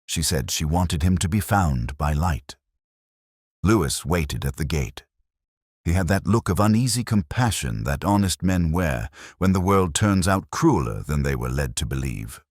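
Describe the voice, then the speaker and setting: A man narrating a scripted first-person detective story in a measured, solemn, literary manner. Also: a bass voice, no echo, studio quality.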